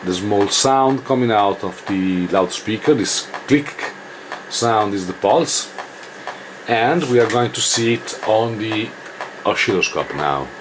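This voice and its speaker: A man who is not a native English speaker gives an informal hands-on technical tutorial, haltingly thinking aloud as he works. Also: fairly low pitch, lively intonation, background noise. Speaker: electronics demonstrator.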